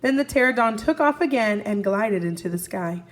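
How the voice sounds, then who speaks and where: A woman teacher reading a story aloud to young children, expressively, pausing to recap and ask the listeners questions. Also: medium pitch, low-quality recording. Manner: expressive, asks listeners questions and answers for them. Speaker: first grade teacher.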